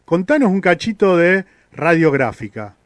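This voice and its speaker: An Argentine man hosts a radio interview in a conversational way. His voice sits slightly high for a man, and the sound is very dry and close, with hardly any room in it. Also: big pitch swings, moderate pace, no room sound. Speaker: radio host interviewing a guest.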